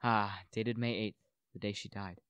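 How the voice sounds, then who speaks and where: A man's voice: fairly low pitch, fairly even intonation, moderate pace, a bit bright, clear, resonant tone, no echo, highs cut off, close to an everyday voice. A young man playing a bitter, gloating widower who poisoned his wife, in a theatrical, brooding radio play monologue. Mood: shaken, wary. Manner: melodramatic, brooding, declamatory.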